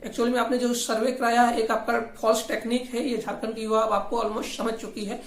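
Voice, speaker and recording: A woman's voice: a little high, flat delivery, a little fast. A woman hosts informal political commentary, speaking critically and argumentatively and often repeating her points. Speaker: host of a regional affairs channel. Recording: echoey, roomy voice recording.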